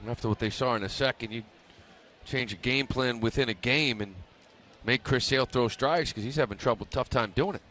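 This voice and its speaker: A man's voice: medium pitch, a little fast, bright, forceful tone. A man giving informal live baseball analysis as a radio colour commentator.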